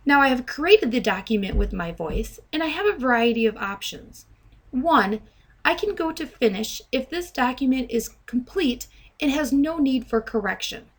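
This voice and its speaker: Higher-pitched woman giving a software demo. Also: expressive, normal speed, slightly rough. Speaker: software trainer giving a demonstration. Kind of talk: instructional screen demo narration with dictation. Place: voice-over to a screen recording.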